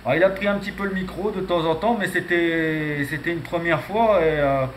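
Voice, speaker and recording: Echoey, higher-pitched man chatting on radio. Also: brisk. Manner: hesitant, chatty, tags phrases with "hein". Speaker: amateur radio operator. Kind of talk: casual radio contact chat. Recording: echoey room, some background noise.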